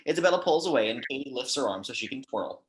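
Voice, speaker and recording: A man's voice: medium pitch, animated, fast. A man reading action descriptions as narrator in an amateur screenplay table read.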